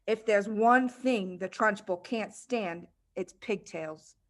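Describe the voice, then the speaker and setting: A woman's voice: mid-range voice, slow pace, natural voice. A woman voicing a knowing schoolgirl in a children's storybook, confiding and emphatic as she dramatically gossips. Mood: confiding, scornful.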